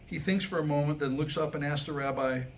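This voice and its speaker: A man with a slightly high voice performs a scripted humorous talk in a live room. He tells his jokes like little stories, pausing deliberately. There is faint noise in the recording. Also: fairly even intonation. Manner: storytelling, deliberate pauses, acting out dialogue in jokes. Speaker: humorist and author. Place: indoor room, live staged reading.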